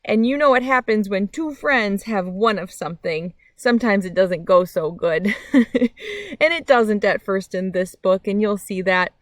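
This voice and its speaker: Female storybook reader, friendly children's host, very animated intonation, dry sound. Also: medium pitch, a little slow, no echo. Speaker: read-aloud host. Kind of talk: children's storybook read-aloud with casual chat. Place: outdoors by a meadow.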